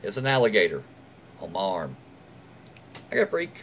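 A man's voice: lowish voice, huge pitch swings, moderate pace, fairly bright tone, lo-fi sound. An American man in his forties reads stories aloud in a casual, folksy way, adding his own asides. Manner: folksy, informal. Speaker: host reading online stories aloud. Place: small room at home, indoors. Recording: faint room noise behind voice.

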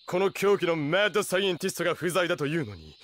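A man's voice: fairly high pitch, very animated, quick pace, fairly bright tone. An actor playing a pompous, theatrical young man who styles himself a mad scientist in an anime radio drama. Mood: pompous, indignant. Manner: grandiose, theatrical declarations.

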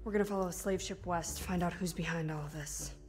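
A woman's voice: lowish voice, steady tone, quick pace, music in the background, natural voice. A woman voicing a determined, earnest young survivor in a video game, speaking directly and urgently. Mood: resolute. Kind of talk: video game cutscene acting.

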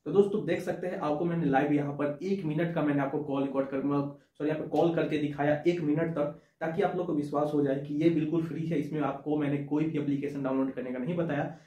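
A man's voice: a little high, steady tone, quick pace, mellow. A young man presenting a phone tutorial to camera, explaining step by step and repeating his points. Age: young adult. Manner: explanatory, repeats points, addresses viewers as friends. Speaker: tech tutorial host. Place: small indoor room with shelves. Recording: echoey small room.

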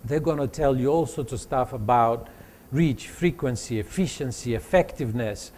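A man, giving a motivational talk to students with animated storytelling and frequent fillers and restarts. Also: medium pitch, moderate pace. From Greece. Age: 40s. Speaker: conference speaker.

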